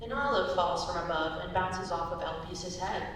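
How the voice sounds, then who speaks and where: A woman's voice: fairly low pitch, normal speed. A young adult woman reading scripted stage directions as narrator at a live staged reading. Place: large indoor room at a live reading. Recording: echoey hall from far off, faint noise.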